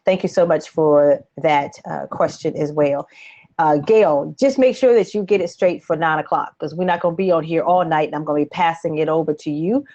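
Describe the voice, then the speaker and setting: A woman in her late forties talks as the host of a live online advice show. She is chatty and animated, slipping in casual asides as she explains things. The sound is dull and low in fidelity, like a headset on a video call. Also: normal speed. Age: late 40s. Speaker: host, credit coach. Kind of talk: live webcast advice talk, answering viewer questions. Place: small home office, indoors. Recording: dull lo-fi webcam audio.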